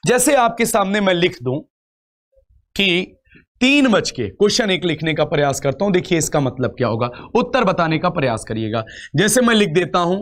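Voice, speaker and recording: A man's voice: high-pitched, very animated, normal speed, fairly bright tone. A man teaching an exam class step by step, repeating phrases and asking rhetorical questions. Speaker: reasoning teacher for exam coaching. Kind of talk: live online lecture, explaining step by step.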